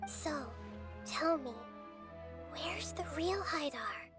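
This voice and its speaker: Little game goddess, super high and gentle but stern, music faint under it. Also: female voice, very slow, full character voice. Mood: earnest. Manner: calm, earnest lecturing. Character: small girl god, gentle, wise, calmly stern.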